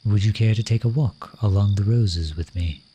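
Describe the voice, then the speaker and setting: A man's voice: on the low side, moderate pace, dark tone, slight background noise, close to an everyday voice. A man playing a lovesick, courtly royal in a romantic ASMR roleplay, speaking to the listener in formal, flowery phrases. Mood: courtly, inviting.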